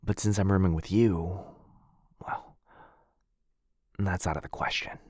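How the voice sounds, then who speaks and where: A man playing a shy, sweet, earnest dog-person roommate in an intimate boyfriend ASMR roleplay, speaking softly and hesitantly. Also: deep voice, fairly even intonation, breathy edge, no echo, studio quality. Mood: sad, wistful. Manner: soft, hesitant, halting, bashfully rambling.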